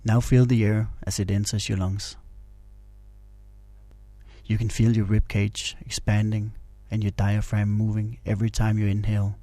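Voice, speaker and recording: A man narrates a guided meditation in a soft-edged, very mellow voice. His intonation stays flat and soothing as he gives calm instructions. The sound is close and very dry. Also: fairly low pitch, moderate pace, dry recording.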